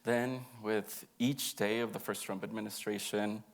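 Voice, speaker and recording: A man's voice: lowish voice, steady tone, unhurried, creaky. A young man reading an autobiographical monologue aloud in an earnest, emotive storytelling way. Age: young adult.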